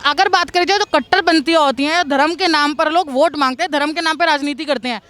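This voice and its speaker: Woman, high pitch, street reporter, vox pop questioning, outdoors. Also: a little fast, a bit bright.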